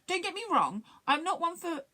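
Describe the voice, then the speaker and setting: Female reader as polite, earnest male creature for a children's tale, kindly, lifted pitch. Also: big pitch swings, moderate pace, sharp tone, heavily acted. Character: kindly, clever male storybook creature with a plan.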